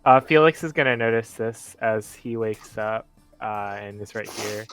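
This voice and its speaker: A man playing a tabletop roleplaying game, describing his character's actions in halting, informal talk. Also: fairly low pitch, a bit bright, smooth, faint music behind.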